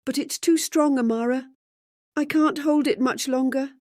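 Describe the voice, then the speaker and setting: A solemn village healer acted by a woman narrator pleads under a heavy burden. The voice is strained, earnest and lifted well above everyday pitch, recorded dry. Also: steady tone, normal speed, darkish tone, dry recording, close to an everyday voice. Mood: urgent pleading.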